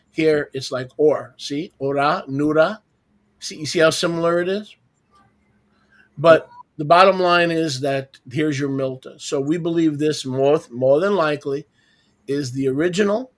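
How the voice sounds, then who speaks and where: A man's voice: on the high side, a bit bright. A man hosting an informal religious teaching over an online video call, explaining didactically and repeating key words for emphasis.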